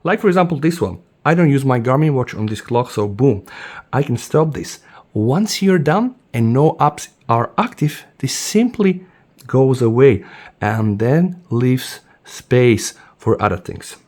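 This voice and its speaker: Animated man. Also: a little slow. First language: Bulgarian. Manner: enthusiastic, addresses viewers as "guys", says "boom" often. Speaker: tech reviewer. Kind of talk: casual product demo.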